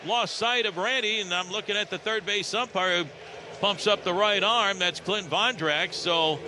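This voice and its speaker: An American man calling live baseball play-by-play on the radio, with conversational, folksy asides between pitches. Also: moderate pace, background noise. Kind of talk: live sports commentary. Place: broadcast position at an open-roof ballpark.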